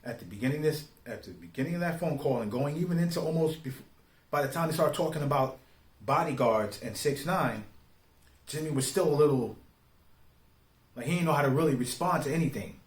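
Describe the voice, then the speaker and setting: A man's voice, a little higher than average, rings in a clearly echoing room. He gives informal opinion commentary in street slang. His delivery is emphatic and deliberate, leaning on rhetorical questions to make his points. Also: expressive. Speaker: online commentator. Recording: roomy, echoing sound.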